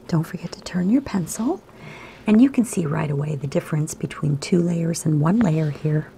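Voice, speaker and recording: A woman, slightly hoarse today, gently talking kids through a drawing lesson in a soft, half-whispered voice. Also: low voice, moderate pace, slight background noise. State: raspy, slightly sore throat. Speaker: art teacher for kids. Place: home room by a window.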